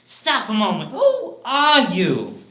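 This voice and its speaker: A man playing a proud, defensive gentleman who blusters indignantly in a dramatic story performance. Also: high-pitched, expressive, unhurried, roomy sound, pitched above the performer's own voice, exaggerated voice. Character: proud, indignant gentleman confronted by his own conscience. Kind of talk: dramatic solo short-story interpretation.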